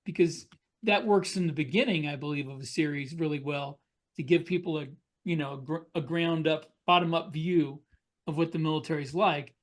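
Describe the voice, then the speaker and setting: Guy cohosting a podcast, slightly high voice, just chatting with the panel. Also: moderate pace. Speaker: podcast co-host. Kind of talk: casual panel discussion.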